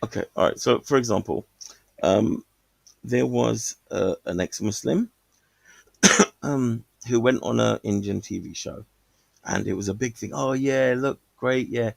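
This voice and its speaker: A man's voice: mid-range voice, unhurried, creaky, no echo. A man calling in to a stream, talking casually and rambling with many fillers and restarts. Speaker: caller. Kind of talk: casual call-in chat.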